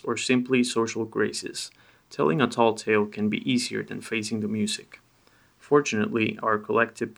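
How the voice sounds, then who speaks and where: A man's voice: lowish voice, gravelly, fairly bright tone. A man hosting a podcast, moving between a scripted topic read and plain casual talk. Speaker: podcast host.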